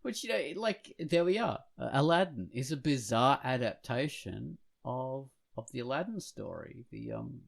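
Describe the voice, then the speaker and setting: A man's voice: medium pitch, expressive, normal speed, gravelly, few pauses. A man co-hosting a podcast in casual, rambling chat, opinionated and blunt, with casual swearing. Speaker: podcast co-host.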